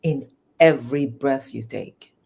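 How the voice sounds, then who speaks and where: Interview guest: Farsi-native woman, Iranian-English accent, earnest, lively storytelling. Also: very deep voice, measured pace, vocal fry, breathy edge. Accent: Iranian, learned English in England. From Iran. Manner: earnest, animated, with restarts.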